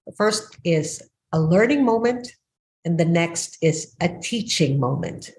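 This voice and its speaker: A woman's voice: on the low side, lively intonation, unhurried, darkish tone. A woman in her sixties from the Philippines, hosting a podcast and speaking earnestly and reflectively. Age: 60s. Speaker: podcast host. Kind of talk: casual podcast conversation.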